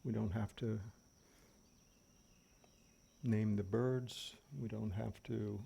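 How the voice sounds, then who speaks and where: A man leading an unscripted guided meditation as a teacher, speaking gently and unhurriedly with long pauses. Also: lowish voice, creaky. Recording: steady background noise of fans and birds. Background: fans, birdsong and insects.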